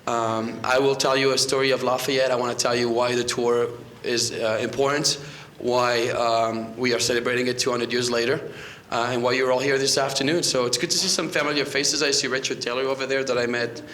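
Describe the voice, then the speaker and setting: A French-accented man in his thirties speaks from a podium mic in an echoey library room. His delivery is level and flat, chatty and full of restarts, with a faint hum behind him. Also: medium pitch, a little fast. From France. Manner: conversational, frequent restarts and fillers. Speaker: guest lecturer. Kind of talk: public history lecture. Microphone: podium microphone.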